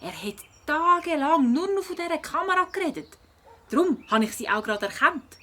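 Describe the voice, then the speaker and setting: Woman playing a fairly high-voiced Swiss German schoolgirl sleuth. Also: sing-song, brisk, bright, forceful tone, heavily acted. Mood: surprised, keen. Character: spirited young schoolgirl detective, curious and determined. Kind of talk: children's audio play acting.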